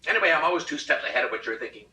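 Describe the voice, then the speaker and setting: A man's voice: fairly high pitch, expressive, rapid-fire, pushed and bright, voice pushed up, exaggerated voice. A man voicing a stubborn, scornful wife in a comic short-story reading, curt, dismissive and sarcastic. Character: stubborn, scornful, nagging wife who refuses to budge. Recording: roomy, distant rehearsal recording.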